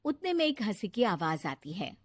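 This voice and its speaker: A woman's voice: medium pitch, big pitch swings, moderate pace, husky, no room sound. A woman reading scripted narration for a children's cartoon story.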